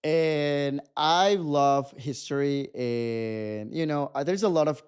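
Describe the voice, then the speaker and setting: A man's voice: a little high, slow, clean tone. A man in his twenties with a Mexican accent, a podcast guest chatting casually and animatedly, often restarting his sentences. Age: 20s. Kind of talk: casual chat.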